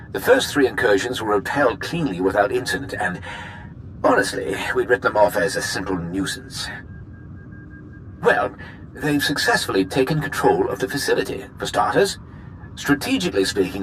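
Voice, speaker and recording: An actor playing a smug, slick sci-fi corporate executive in a video game, with a pompous, glib, teasing manner. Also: male voice, on the low side, a bit bright, slight background noise, low-quality recording. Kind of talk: video game cutscene acting.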